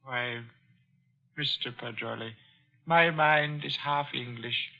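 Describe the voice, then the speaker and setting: An actor playing a courteous, world-weary old merchant in a vintage radio drama, speaking formally. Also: male voice, medium pitch, vocal fry, sharp tone, full character voice. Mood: mournful, weary. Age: older. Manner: measured, formal, philosophical. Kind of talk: 1940s radio acting. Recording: muffled vintage radio recording.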